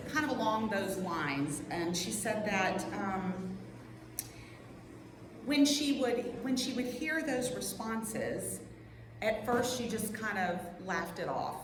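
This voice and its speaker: A woman giving an informal prepared talk to a group, speaking earnestly and conversationally with pauses between short phrases. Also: on the high side. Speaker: workshop presenter at a church women's meeting. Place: large echoey indoor room. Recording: echoey hall with clear background noise.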